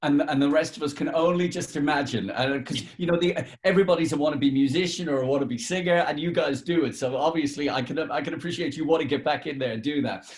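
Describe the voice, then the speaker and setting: Chatty man, higher-pitched voice. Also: quick pace, few pauses. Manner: chatty, rambling. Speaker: radio interviewer. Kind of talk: informal interview questions.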